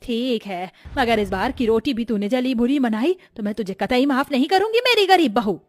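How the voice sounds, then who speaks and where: Woman putting on a scolding, high-voiced mother-in-law. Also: lively intonation, moderate pace, no room sound, clean studio sound, soft background music, natural voice. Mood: stern. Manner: scornful, commanding. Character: scolding, sharp-tongued middle-aged mother-in-law.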